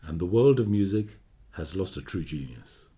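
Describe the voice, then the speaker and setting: Radio play: 19th-century gentleman, formal and fond, very low voice, expressive swings in pitch. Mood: fond, courteous. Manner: formal, measured, old-fashioned courtesy. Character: courteous Polish gentleman of 1849, friend of Chopin, reminiscing. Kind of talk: radio drama narration.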